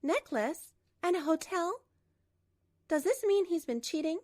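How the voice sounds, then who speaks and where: A woman playing a young wife, high voice, stern, insistent, manga drama dub. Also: animated, a little slow, warm tone, no echo, clean studio sound. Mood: startled, suspicious.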